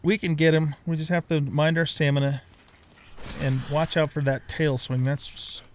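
A middle-aged man with a somewhat high voice hosts a let's play. He comments casually and reacts as he goes, talking to himself and the game with some mild swearing. Some background noise sits behind his voice. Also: level tone, moderate pace.

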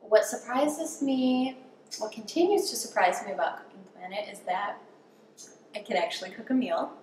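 A woman's voice: medium pitch, normal speed, darkish tone. A woman in her thirties talking candidly and self-deprecatingly about learning to cook, interviewed in her home kitchen on a distant camera mic. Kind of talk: casual interview while cooking. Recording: roomy kitchen sound with faint background hum.

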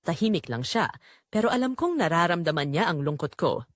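Young Filipino female narrator for dramatic romance story; emotive, reflective, lively pitch movement, close dry recording. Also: brisk, no room sound. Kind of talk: dramatic scripted first-person romance narration.

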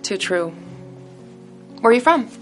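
An actress playing a friendly, chatty fellow teacher welcoming a newcomer, in a classroom. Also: female voice, fairly high pitch, big pitch swings, a little slow, music in the background. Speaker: science teacher. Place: classroom indoors. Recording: film score underneath.

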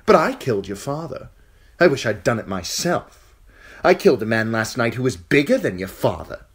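A spoiled young king sneers and boasts in a raised, needling voice, put on by a male reader. The tone is lively and a little husky, swinging up and down as he gloats. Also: mid-range voice, moderate pace, voice pushed up. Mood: gloating. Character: cruel petulant boy king.

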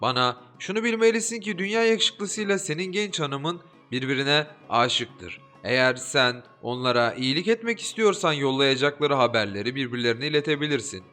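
A man's voice: mid-range voice, pushed and bright. A man voicing a caring old nanny woman in a storybook, speaking tenderly and coaxingly.

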